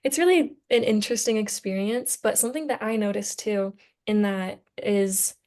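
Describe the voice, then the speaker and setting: A woman's voice: mid-range voice, moderate pace, a little mellow. A young woman talking casually as co-host of a student podcast discussion. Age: young adult.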